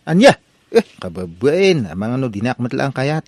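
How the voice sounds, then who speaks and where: Reluctant Ilocano Filipino playboy son played by a man. Radio comedy, extremely swooping pitch, dry sound. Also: sing-song, normal speed, no echo. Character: reluctant, girl-chasing adult son. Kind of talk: comedic radio drama acting.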